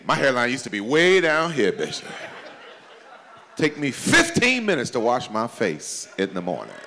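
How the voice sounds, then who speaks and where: A man in his forties from doing stand-up comedy at a church celebration, swinging between loud high exclamations and low confiding asides. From Chicago, USA. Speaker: comedian pastor. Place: banquet hall at a church event. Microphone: handheld microphone. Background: audience laughter.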